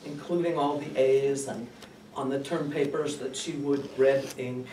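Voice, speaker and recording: A man's voice: medium pitch, fairly even intonation, a little slow, darkish tone, close to an everyday voice. A man playing a bitter, twice-divorced woman in a comic stage monologue, with a dry, complaining manner. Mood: aggrieved. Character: aggrieved twice-divorced woman named Pat, bitter about her mother. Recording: distant, roomy, noisy live recording.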